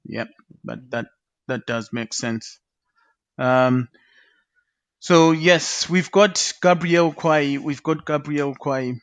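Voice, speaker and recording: A man hosting an online panel discussion, introducing a guest in a halting way with many fillers and repeated words. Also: on the high side, no echo. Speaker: talk show host.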